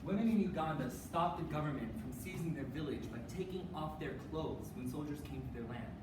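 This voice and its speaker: A woman's voice: low voice, brisk. A young adult woman delivering a rehearsed dramatic readers theater speech as one of a student team. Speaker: readers theater performer on a college forensics team. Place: indoor room, live stage performance. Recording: echoey room from far off, noisy.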